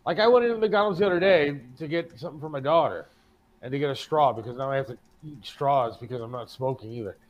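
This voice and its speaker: A man's voice: fairly high pitch, quick pace, highs cut off. An American man chatting casually as a talk show co-host, profane and given to complaining. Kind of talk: casual chat.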